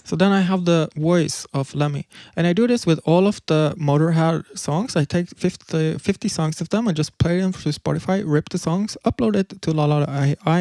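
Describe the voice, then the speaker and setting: A man's voice: fairly high pitch, dark tone, no room sound. Man, casual livestream talk, loose explaining, dry sound. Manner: loose explaining with filler words and restarts. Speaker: presenter demonstrating music tools.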